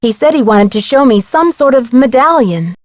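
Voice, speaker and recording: The higher, close and dry voice of a level-headed girl sleuth reporting clues in a mystery adventure game. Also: expressive, moderate pace, dry recording. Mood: matter-of-fact. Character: young female amateur detective, plucky, level-headed. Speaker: game voice actor. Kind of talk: scripted game dialogue.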